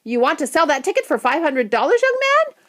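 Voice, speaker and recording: Woman playing a brash, loud, high-pitched woman bidder. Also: very animated, fairly quick. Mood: incredulous. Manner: loud and incredulous.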